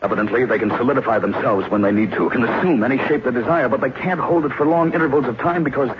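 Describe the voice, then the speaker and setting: A man's voice: mid-range voice, fast talker, natural voice. An actor playing a grim, reasoning man who narrates an old radio thriller with grave, dramatic delivery. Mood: tense, resigned. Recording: noisy, muffled old radio recording.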